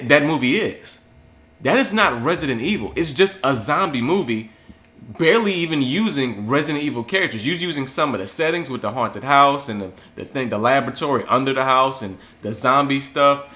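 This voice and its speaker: Young guy cohost, earnest, says um a lot, normal pitch. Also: fairly bright tone. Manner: earnest, full of fillers like um. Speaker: co-host. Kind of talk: casual group chat.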